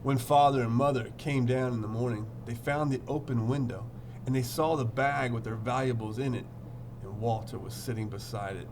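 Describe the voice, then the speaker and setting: A man's voice: medium pitch, fairly even intonation, normal speed, darkish tone, a little hiss or hum. A man teaching through a storybook read-aloud, playful and theatrical, repeating phrases and chatting to his pupils.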